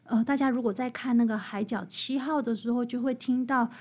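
A woman with a Taiwanese Mandarin accent, an author guest explaining music history in conversation with emphatic, animated storytelling. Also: on the high side, a little fast.

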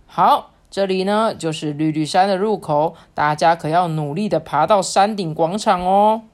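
A woman voicing a kindly radish schoolteacher in a storybook, instructing pupils in a measured, encouraging way. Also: fairly low pitch, natural voice. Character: calm, encouraging male radish teacher leading young pupils.

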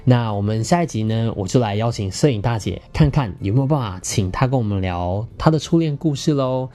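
A man's voice: medium pitch, expressive, a little fast, warm tone. A man with a Taiwanese Mandarin accent hosting a podcast, speaking colloquially and earnestly to listeners as he shares personal stories and relationship advice. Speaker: podcast host.

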